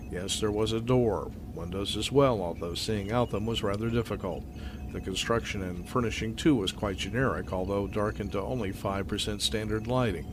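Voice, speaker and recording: A man's voice: on the low side, background noise. A man narrating a scripted science fiction audio drama in storytelling style.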